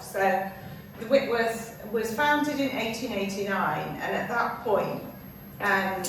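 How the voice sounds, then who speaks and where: A woman from a museum's collections side explaining its history at a gallery talk, with frequent hesitations. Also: mid-range voice. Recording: distant echoey mic with noise.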